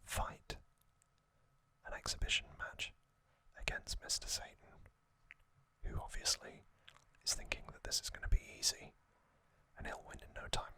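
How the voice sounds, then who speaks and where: A man hosting an ASMR video, whispering a soft, hesitant recap and correcting himself now and then. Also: normal speed, pauses often. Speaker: ASMR host.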